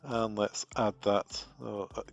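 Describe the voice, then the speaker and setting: A man with a British accent, a product trainer talking through a software configuration step by step, relaxed and thinking aloud with fillers. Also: fairly low pitch, slow pace, gravelly, lo-fi sound, soft background music.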